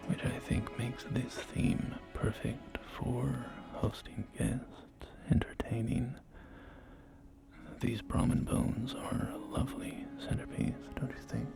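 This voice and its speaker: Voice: mid-range voice, level tone, slow, vocal fry. A performer voicing a polite, eager post-apocalyptic real estate agent in a whispered ASMR roleplay, with courteous, hesitant sales patter. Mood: gently enthusiastic. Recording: loud music bed under close whispering. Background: music and game audio.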